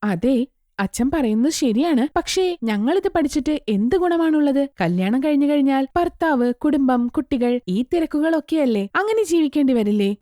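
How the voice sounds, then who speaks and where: A woman as a high-voiced, outspoken young daughter. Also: animated, normal speed, mellow, no echo, clean studio sound. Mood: questioning, reluctant. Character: young goldsmith's daughters, outspoken, questioning, persuasive. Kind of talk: children's story character acting.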